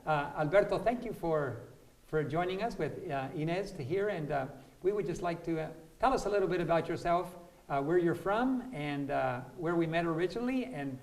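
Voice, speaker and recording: Older male interview host, voice on the higher side, halting and deliberate phrasing. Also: animated. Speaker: host, missionary preacher. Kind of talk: interview introduction.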